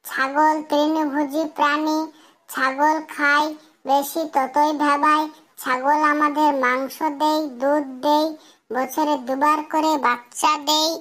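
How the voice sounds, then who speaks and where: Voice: high voice, little pitch movement, takes it slowly, a bit bright. A performer voicing an earnest cartoon schoolboy who speaks like a pupil reciting memorized lessons. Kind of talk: cartoon comedy dialogue.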